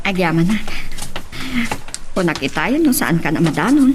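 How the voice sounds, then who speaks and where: A woman's voice: fairly low pitch, sing-song, moderate pace, over music. A woman playing a boastful, showy rich wife in an Ilocano audio drama, with an Ilocano accent. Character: boastful rich wife flaunting her wealth. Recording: distant mic, faint noise.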